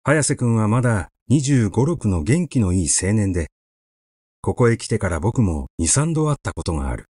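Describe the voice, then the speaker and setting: A man reading a literary short story aloud as an audiobook narrator, with measured, expressive storytelling. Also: lowish voice, slightly rough, no room sound, studio quality.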